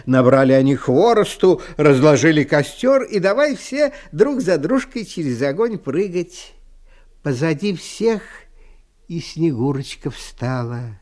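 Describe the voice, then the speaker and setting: A man narrating a fairy tale for an audio storybook with expressive, dramatic, unhurried phrasing. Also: high voice.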